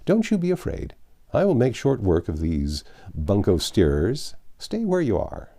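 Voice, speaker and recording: A man's voice: on the low side, huge pitch swings, a little slow, vocal fry, mellow, full character voice. A man reading a storybook part as a confident, wry American man who explains things with assurance. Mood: bold reassurance.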